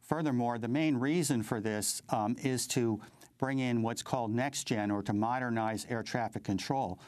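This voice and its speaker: An older man with an American accent giving interview commentary in a halting, measured way, often repeating words. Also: medium pitch, a little mellow, no echo. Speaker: interview guest, advocacy group president.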